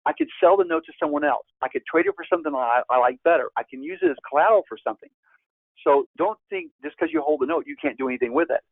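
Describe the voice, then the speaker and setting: A gravelly man in his fifties is heard over a thin, phone-like line with a dry, close sound. He teaches like a seasoned presenter, stressing his points with sudden leaps upward in pitch. His voice sits at an ordinary middle pitch between those leaps. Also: huge pitch swings, fast talker, no echo. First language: Spanish. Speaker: real estate investor presenting a course. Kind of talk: webinar presentation.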